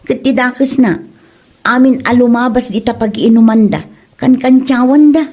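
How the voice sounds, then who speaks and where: A woman's voice: a little high, moderate pace, mellow, natural voice. A woman playing a concerned, scolding village wife in an Ilocano comedy drama, with an Ilocano Filipino accent.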